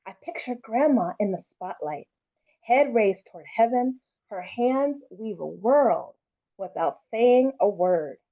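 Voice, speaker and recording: A woman's voice: on the high side, narrow, tinny audio. A woman speaking expressively and encouragingly, as an author introducing and reading a children's story aloud.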